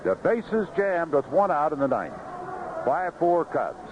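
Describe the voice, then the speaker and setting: A man calling baseball play-by-play on live radio in clipped phrases, pausing between pitches. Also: very animated. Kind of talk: live radio sports commentary. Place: outdoor ballpark broadcast booth. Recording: noisy, muffled vintage 1970s radio broadcast.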